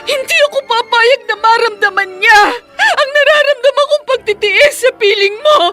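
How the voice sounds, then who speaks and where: A woman's voice: extremely high pitch, normal speed, music in the background. A woman playing an anguished, long-suffering wife, crying, in a melodramatic Filipino radio drama. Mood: anguished, tearful.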